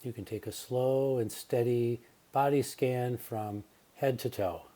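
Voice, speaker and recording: A man guiding a relaxation meditation as a yoga nidra teacher, speaking calmly and gently with unhurried pauses. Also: mid-range voice.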